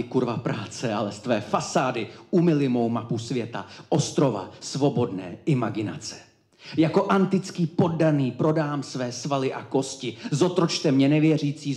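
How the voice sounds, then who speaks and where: A man delivers slam poetry live in an echoey room. His voice sits at a middle pitch, and he declaims each phrase emphatically, setting it apart with deliberate pauses. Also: darkish tone. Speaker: slam poet. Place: indoor cultural evening event, live before an audience.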